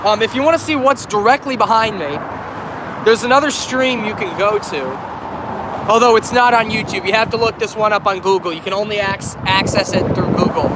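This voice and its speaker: A high-pitched man talks casually to his chat on a phone stream, his voice a bit off from a cold. He stumbles, restarts and corrects himself as he goes. Loud highway traffic and wind roar behind him outdoors. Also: a little fast. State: recovering from a cold, coughing. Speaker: railfan livestreamer. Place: outdoors on a bridge by a busy highway. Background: heavy traffic and wind.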